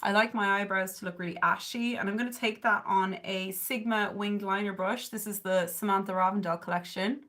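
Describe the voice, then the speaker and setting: A woman's voice: medium pitch, fairly even intonation, moderate pace, hardly any pauses, a bit bright. Chatty Irish woman on a makeup livestream. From southern Ireland. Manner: chatty and rambling, with frequent "like" and "um"; coos at her cat. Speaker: beauty livestreamer. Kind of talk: casual chat while doing makeup, reading viewer comments. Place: bedroom at home, indoors. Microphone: webcam or phone camera at close range.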